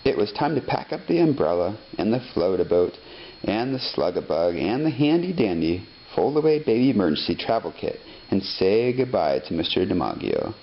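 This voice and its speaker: A man narrating a children's story expressively, reading deliberately phrase by phrase. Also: on the low side, very animated, creaky. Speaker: storybook reader. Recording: dull lo-fi sound, faint noise.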